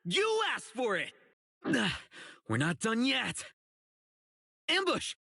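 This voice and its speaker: A man voicing an earnest, righteous young public security officer in a video game, dutiful and excitable, with dramatic delivery. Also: very high voice, slow, gravelly, exaggerated voice. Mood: resolute, battle-ready. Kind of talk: isolated game character voice lines, dramatic acting.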